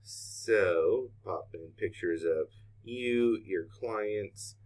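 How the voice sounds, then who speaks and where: A middle-aged man, walking through a software tutorial in a casual, unscripted way as a trainer. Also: on the low side, drawn-out words. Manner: casual, unscripted, thinking aloud while clicking. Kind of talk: informal screen-share tutorial.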